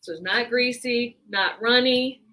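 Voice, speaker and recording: A woman's voice: a little high, a little slow, pushed and bright. A woman from the Bahamas presenting and demonstrating her hair-care brand's products, explaining step by step and often checking in with "right?".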